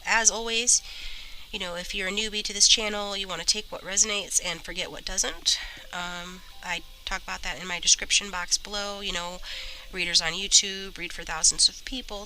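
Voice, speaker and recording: A woman with a stuffy, slightly rough voice from a cold, chatting casually as she gives a tarot reading. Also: on the low side, bright, forceful tone, a little hiss or hum, rough recording. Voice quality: a little rough. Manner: chatty, many fillers and restarts. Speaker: tarot reader.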